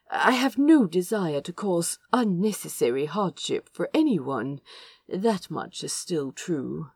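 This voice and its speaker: A woman's voice: on the low side, dark tone, slightly rough, dry recording, studio quality, exaggerated voice. A woman voicing a fussy, well-mannered prince who talks in a prim, nervous, wordy rush, as in an audiobook. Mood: firm.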